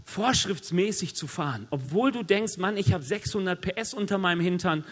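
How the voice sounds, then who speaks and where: High-voiced man preaching with a cold. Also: moderate pace. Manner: lively, joking asides, conversational preaching. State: has a cold. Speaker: pastor. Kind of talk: sermon. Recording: long hall reverb.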